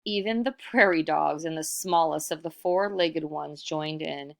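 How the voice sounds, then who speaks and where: A woman reading a storybook aloud in expressive narration, animated and putting questions to the listener. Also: low-pitched, a little slow, vocal fry. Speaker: storybook reader.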